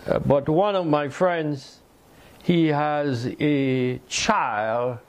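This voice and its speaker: An older man preaching a sermon from a lectern in a small room, slow and deliberate with pauses. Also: fairly high pitch. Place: small indoor room by a fireplace mantel.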